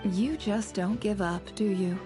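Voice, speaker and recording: An actress playing a shrewd, aloof intelligence boss in a video game, cool and sardonic in manner. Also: female voice, medium pitch, measured pace, over music, natural voice. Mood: sly, dismissive. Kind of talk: video game dialogue acting.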